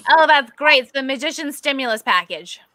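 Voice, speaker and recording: Bright high-voiced woman host. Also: expressive, brisk, dry recording. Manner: upbeat, enthusiastic reactions. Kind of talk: live-stream interview hosting.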